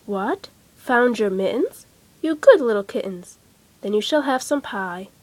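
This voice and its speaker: A woman's voice: on the high side, expressive, measured pace, a little mellow, dry recording, rough recording. Woman as an emphatic storybook mother cat. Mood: approving. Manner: emphatic scolding and praising. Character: strict but loving mother cat.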